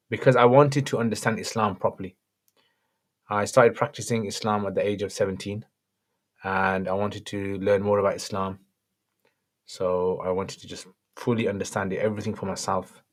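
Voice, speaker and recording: Man, slightly croaky, scholar on a live Q&A, fairly low voice, short direct answers. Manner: brief, matter-of-fact answers. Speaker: Islamic scholar answering viewer questions. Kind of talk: livestream Q&A, reading out questions.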